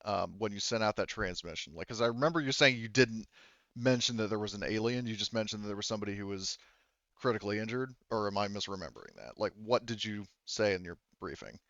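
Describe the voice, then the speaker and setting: A man running a tabletop game as game master, improvising narration and explanations in a halting, wordy way. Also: fairly low pitch, animated, a bit bright, no echo, highs cut off.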